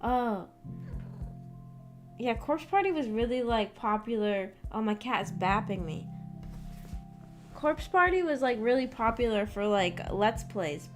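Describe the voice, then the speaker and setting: High-voiced woman chatting on a livestream. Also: animated, unhurried, long pauses. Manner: giggly, rambling, peppers talk with "like". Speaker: livestreamer, visual novel book club host. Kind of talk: casual livestream chat with viewers. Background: background music.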